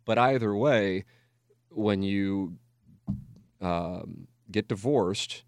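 A man's voice: fairly low pitch, extremely slow, no room sound. An American man in his forties talking candidly and casually as a radio host, rambling, self-deprecating and often swearing. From United States, Missouri. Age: 40s. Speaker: radio talk show host. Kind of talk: candid reflective casual talk.

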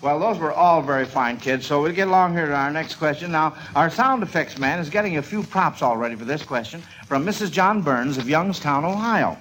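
A man's voice: on the high side, a bit bright, slight background noise, rough recording. A man hosting a 1940s radio quiz show in a folksy, avuncular way, on an old radio recording.

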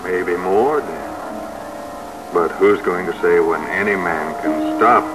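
Folksy national spirit, lowish pitch, slow measured pace, probing, American accent, old-time radio drama, vintage broadcast sound. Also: male voice, over loud music, low-quality recording. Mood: gently probing.

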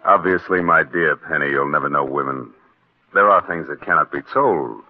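Husky, cocky radio con man. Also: extremely low pitch, moderate pace, narrow, tinny audio. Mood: smugly condescending. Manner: glib, condescending, mocking. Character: cocky, scheming romancer con man, smooth-talking and scornful. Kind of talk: 1940s radio drama acting. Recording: band-limited vintage radio recording.

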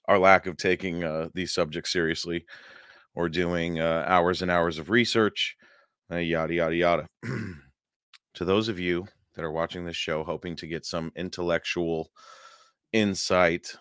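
A hoarse man hosting a paranormal podcast livestream, chatting casually and rambling with many fillers and chuckles. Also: low voice, steady tone, measured pace, fairly bright tone, dry recording, studio quality. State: hoarse, losing his voice.

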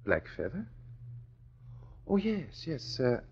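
An actor playing a polite, nervous older doctor, speaking in a halting, courteous way in old radio drama style. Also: male voice, medium pitch, wildly swooping pitch, a little mellow, slight background noise, heavily acted. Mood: puzzled, polite. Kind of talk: 1940s radio acting. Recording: dull vintage radio recording.

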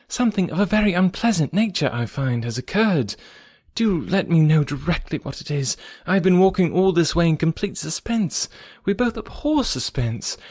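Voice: medium pitch, a little mellow, husky, dry recording, clean studio sound, voice pushed up. A reader voicing an agitated, eager young woman in an audiobook, pleading and exclaiming. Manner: pleading, then exclaiming in shock. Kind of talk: audiobook character voice.

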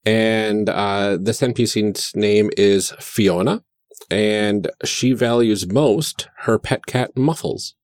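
A man with a fairly low voice speaks very slowly in casual tabletop game chat. He pauses hesitantly between phrases, and the sound is close and dry. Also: darkish tone, no echo. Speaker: podcast host and player.

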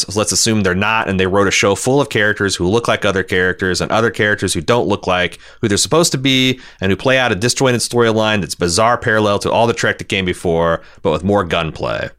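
Lower-voiced man, opinionated podcast host. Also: brisk, hardly any pauses, a bit bright, clear, resonant tone. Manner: opinionated, exasperated critic, swears. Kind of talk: casual TV recap discussion, reading listener feedback.

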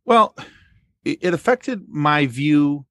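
A man speaking as a podcast guest in casual fan discussion, haltingly repeating phrases as he explains. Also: a little high, animated, fairly bright tone, dry recording, studio quality.

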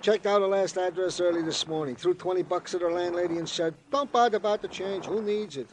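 Actor as a fussy, high-pitched police sergeant. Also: male voice, brisk, lightly acted. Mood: officious. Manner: comically pompous, mangled flowery phrasing. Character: fretful, aggrieved middle-aged police sergeant and fussy father. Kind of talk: 1950s radio comic-relief acting. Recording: noisy old radio recording.

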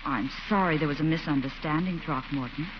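An actress playing a proper, coy but firm lady in 1940s radio comedy style, genteel and gently chiding. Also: deep voice, brisk, dull audio, lightly acted. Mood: firm, reproving. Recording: noisy band-limited old radio recording.

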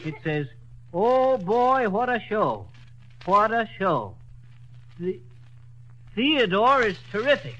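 An actor playing a boastful small-town husband who tells tall tales in a folksy drawl, in 1940s radio comedy style, on an old radio broadcast recording. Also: male voice, high voice, big pitch swings, takes it slowly, pauses often, a bit bright, dull audio, exaggerated voice. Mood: puzzled. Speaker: comedy lead.

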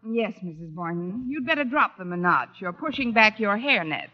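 An actress playing a wry, quick-witted schoolteacher, wisecracking drily in an old-time radio comedy. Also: female voice, mid-range voice, very animated, lightly acted. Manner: dry, wisecracking. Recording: muffled vintage radio broadcast.

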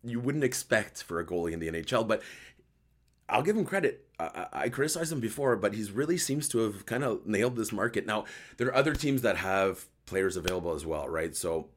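A man with a hoarse voice hosting a casual live sports chat show, joking and thinking aloud as he answers viewers. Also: on the low side, expressive, a little fast. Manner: joking, conversational, thinking aloud with repeated words. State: hoarse voice after a night outdoors. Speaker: host of a hockey post-game recap show.

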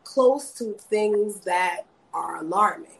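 A woman's voice: medium pitch, slow, creaky, slight background noise, rough recording. A woman chatting casually as an interview guest, laughing often and hesitating with many fillers.

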